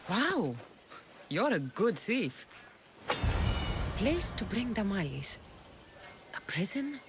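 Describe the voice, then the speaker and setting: A woman's voice: medium pitch, big pitch swings, slow pace, noisy background. A woman playing a tough, protective young mercenary in a video game, dry and defiant. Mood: firm, dry, then wary, murmuring.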